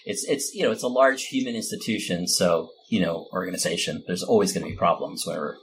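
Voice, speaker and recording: A man's voice: sits low, fast talker, fairly bright tone, a little hiss or hum, low-quality recording. A man hosting a casual, unscripted podcast conversation. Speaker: podcast host.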